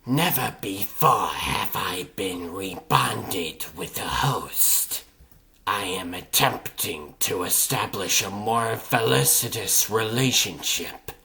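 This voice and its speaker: Guy doing ancient alien scarab thing, comic dub, low hushed creaky voice, super formal and solemn. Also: lively intonation, exaggerated voice. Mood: quiet, formal. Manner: slow, formal, elevated wording.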